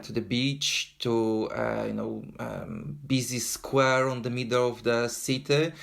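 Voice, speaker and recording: A man with a Polish accent talks earnestly as an interview guest. His pitch sits in an ordinary middle range. He often pauses on fillers and restarts his sentences. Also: gravelly. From Poland. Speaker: guest, endurance runner. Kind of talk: casual interview talk.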